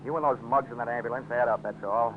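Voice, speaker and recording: A man's voice: mid-range voice, steady tone, fast talker, close to an everyday voice. Through a tinny, hissy old radio recording comes an actor as a tough American male detective. He is brusque and hard-boiled, tossing out sardonic wisecracks in classic 1940s radio style. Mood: sardonic, pressing. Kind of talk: 1940s radio detective drama, acting and narrating.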